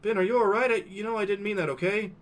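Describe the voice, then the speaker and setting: A man voicing a volatile young dinosaur girl who screams in shrill outbursts. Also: steady tone, fast talker, natural voice. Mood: anxious, threatening.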